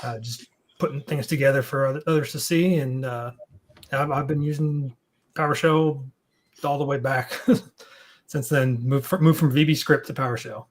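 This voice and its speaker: A man's voice: medium pitch, steady tone, normal speed. A man working as a consultant, introducing himself casually on a video call. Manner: casual, loose. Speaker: consultant, panel guest.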